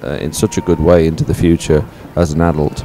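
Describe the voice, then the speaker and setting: A man giving live sports commentary as presenter, speaking in a halting, hesitant way. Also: rumbling low, level tone, mellow, slight background noise.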